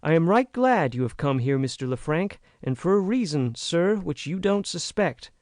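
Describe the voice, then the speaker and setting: A man's voice: on the high side, expressive, normal speed, darkish tone, no room sound, studio quality, pitched above the performer's own voice, lightly acted. Man voicing a frank young American woman. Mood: earnest pleading. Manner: impassioned, pleading, emphatic. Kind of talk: audiobook character reading.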